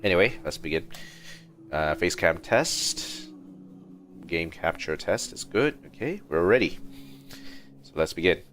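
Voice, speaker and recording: Very deep-voiced male game streamer. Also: big pitch swings, a little slow, pauses often, fairly bright tone, slight background noise. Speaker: livestream host. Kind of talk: casual gaming livestream chat.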